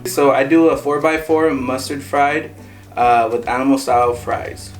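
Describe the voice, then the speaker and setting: A man's voice: mid-range voice, steady tone, measured pace. A man in his twenties, a band member, chatting in a rambling way with lots of fillers. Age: 20s. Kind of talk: casual chat. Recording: distant mic with background music.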